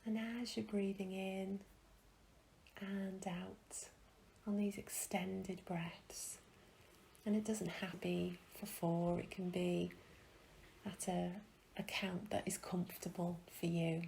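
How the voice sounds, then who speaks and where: A woman guiding a meditation in a soft, breathy, soothing way, leaving long pauses between phrases. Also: on the low side, little pitch movement, slight background noise, poor audio. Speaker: meditation guide. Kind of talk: guided meditation.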